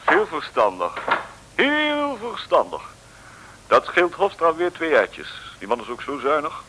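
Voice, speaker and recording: An actor in a 1950s radio serial playing a breezy, tactless colonel who talks in a jovial, patronising way and repeats himself. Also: male voice, on the high side, huge pitch swings, a little slow, background noise. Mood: chummy.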